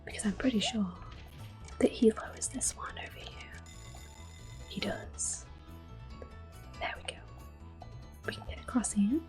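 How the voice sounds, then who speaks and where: A woman giving soft-spoken, gentle ASMR commentary over a video game, in a breathy whisper. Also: mid-range voice, wildly swooping pitch, music in the background. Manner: gentle, unhurried. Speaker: ASMR gameplay host.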